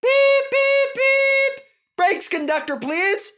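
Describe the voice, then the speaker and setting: A man voicing a cheeky, excitable young steam engine with a whiny, exclamatory delivery for a children's storybook. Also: very high voice, drawn-out words, voice pushed up, full character voice. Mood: alarmed.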